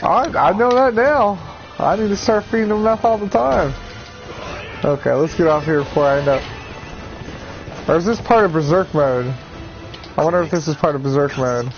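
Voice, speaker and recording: A congested man streaming a game with a slightly high voice that jumps up when he gets excited, laughing and swearing as he plays. The audio is dull and lo-fi, with faint game music underneath. He sounds like he has a cold. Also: normal speed, pauses often. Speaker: gameplay streamer. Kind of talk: casual gaming chat.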